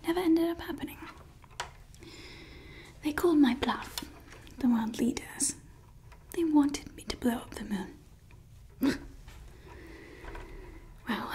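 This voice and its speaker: A young woman in an ASMR roleplay voicing a doting yet menacing evil scientist, speaking in soft, close, sweetly possessive whispers into a close mic. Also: fairly high pitch. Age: young adult. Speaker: ASMR creator. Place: indoors. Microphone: close studio mic.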